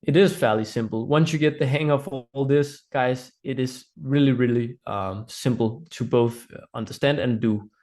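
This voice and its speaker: A man's voice: medium pitch, moderate pace, hardly any pauses, darkish tone. A man with a Danish accent presents a live online training in an informal, explanatory way, often checking in with listeners. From Denmark.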